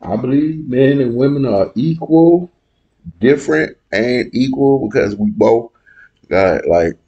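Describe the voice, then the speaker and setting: A young man argues his side in a heated panel debate, blunt and full of slang. He talks slowly and hammers his points by repeating them. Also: medium pitch, expressive, dark tone, breathy edge, muffled recording.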